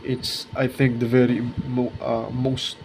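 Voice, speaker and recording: A twenty-year-old man talking casually and reflectively to his viewers in a vlog, halting and correcting himself as he searches for words. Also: medium pitch, flat delivery, creaky, darkish tone, slight background noise. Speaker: art video creator.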